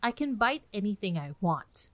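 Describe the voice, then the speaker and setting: A woman's voice: medium pitch, sing-song, moderate pace, a bit bright, dry recording. A woman voicing a polite young girl, sympathetic and earnest, in a dramatic storybook reading. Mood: grave. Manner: courteous, earnest.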